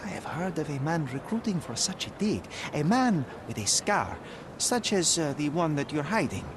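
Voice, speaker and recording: Young male detective, polite, sly, higher voice. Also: sing-song, background noise. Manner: polite, teasing, quick-witted. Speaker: lead character. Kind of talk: video game voice acting.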